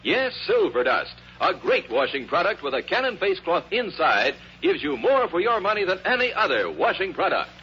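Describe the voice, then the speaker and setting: Male ad pitchman, bright high voice, dull vintage radio with faint noise. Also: sing-song, moderate pace, hardly any pauses. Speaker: commercial pitchman. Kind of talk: scripted 1950s radio advertising. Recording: dull, slightly noisy 1950s radio.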